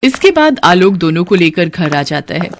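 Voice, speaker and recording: A woman's voice: lowish voice, very animated, moderate pace, no room sound, clean studio sound. A woman narrating a scripted moral bedtime story as a storyteller.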